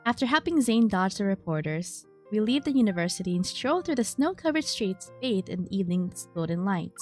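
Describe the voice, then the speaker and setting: A woman's voice: mid-range voice, sing-song, fairly quick, darkish tone. A woman streamer playing a story game, reading its text aloud and adding casual commentary. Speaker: streamer, VTuber. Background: game music.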